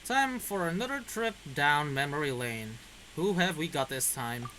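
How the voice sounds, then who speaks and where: A man's voice: on the high side, animated, a little slow, sharp tone, slight background noise, poor audio. A man giving casual reaction commentary as he plays a video game.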